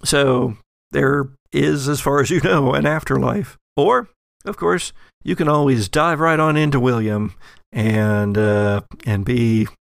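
A man narrating a horror tabletop game as game master, in a deliberate, descriptive, suspenseful storytelling way. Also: mid-range voice, steady tone, creaky, dry recording, clean studio sound.

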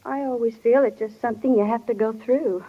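A woman's voice: a little high, fairly even intonation, quick pace, breathy edge. An actress playing an earnest, self-conscious teenage small-town schoolgirl in a 1940s radio drama. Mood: shy, polite. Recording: dull, reverberant 1940s radio recording.

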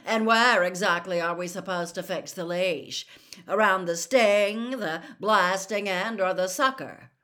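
A woman voicing a sneering, arrogant teenage schoolboy bully in a storybook reading, with a loud, taunting drawl. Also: mid-range voice, measured pace. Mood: disgusted.

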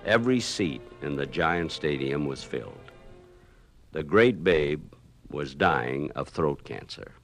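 A man's voice: rumbling low, animated, takes it slowly, faint music behind. A man giving scripted, reminiscing narration as a radio documentary host.

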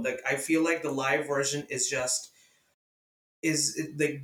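A young man whose first language is Urdu or Hindi, hosting a casual music podcast and talking with enthusiasm and lots of fillers. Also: medium pitch. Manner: enthusiastic, lively, many fillers. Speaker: podcast host. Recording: echoey room.

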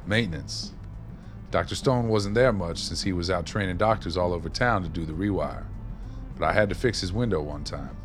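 A man's voice: low-pitched, normal speed, soft background music, close to an everyday voice. An actor playing a streetwise, wry former maintenance man, telling stories in a folksy, colloquial way in a radio play. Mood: reminiscing. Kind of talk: radio play dialogue, reminiscing.

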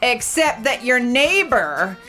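A woman's voice: on the high side, big pitch swings, takes it slowly, bright, forceful tone, faint music behind. A woman co-hosting a radio show in casual chat, with a lisp and a gushing, chatty way of talking.

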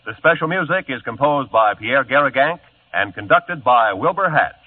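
Male announcer, vintage radio credits; formal and crisp, lively pitch, tinny sound. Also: normal speed. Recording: tinny old radio broadcast.

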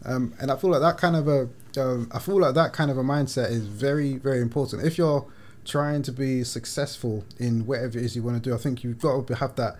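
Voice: medium pitch, fairly quick, hardly any pauses, darkish tone. A podcast host interviewing a guest, giving frequent encouraging affirmations.

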